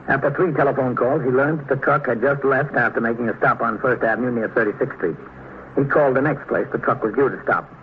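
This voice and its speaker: An American man playing a steady, matter-of-fact police captain who also narrates a 1950s radio drama. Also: mid-range voice, close to an everyday voice. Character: male police captain, steady, measured, kindly. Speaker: narrator and lead actor. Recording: muffled narrow-band old radio recording, faint noise.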